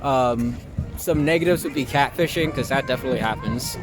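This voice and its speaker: A young American man talking casually and candidly as an interview guest, halting and informal, leaning on "like" and the odd swear word. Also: mid-range voice, music in the background, a crowd in the background. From Long Island, New York, US. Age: young adult.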